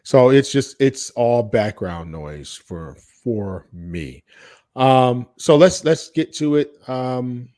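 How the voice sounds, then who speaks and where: A man's voice: medium pitch, expressive, takes it slowly, darkish tone. An American man hosting a casual podcast chat, speaking in a blunt, salty-tongued way. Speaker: podcast host.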